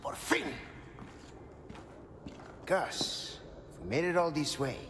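Cheeky spanish rogue, high lively voice, game cutscene, noisy behind. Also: male voice, takes it slowly. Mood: relieved banter. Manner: joking, breezy. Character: cheeky, roguish Spanish male sidekick. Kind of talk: video game character acting.

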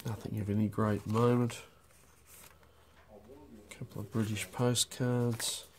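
Slow, dry-spoken man. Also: on the low side, flat delivery. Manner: dry, unhurried, wry asides. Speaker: stamp auction describer. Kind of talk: off-the-cuff lot walkthrough. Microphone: overhead camera on a desk.

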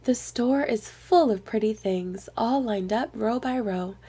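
A woman reading a picture book aloud to young children in an expressive storyteller's manner. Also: a little high, unhurried, breathy edge.

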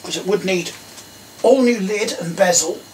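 A man's voice: high voice, a little slow. A middle-aged man with an English accent, thinking aloud casually and questioning himself as he works. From England. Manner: muttering to himself, self-questioning. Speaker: video creator tinkering with old electronics. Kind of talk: casual thinking-aloud commentary while working. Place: small tiled indoor workshop. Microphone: camera mic a little away. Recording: distant mic, noisy workbench clatter. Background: clear noise, clicks and clatter of tools.

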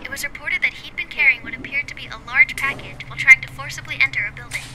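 An efficient policewoman on dispatch in an audio drama, acted by a woman. She reports formally and very quickly in a high voice, amid loud noise. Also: natural voice. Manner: formal, businesslike. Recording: loud noise over a call.

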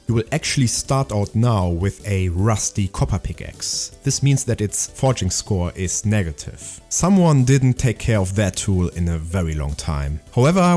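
A man with a fairly low, mellow voice walks through his game-building work in a steady, explanatory tone. It sounds like a scripted devlog voice-over, with soft music faintly underneath. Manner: calm, explanatory, methodical. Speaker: indie game developer.

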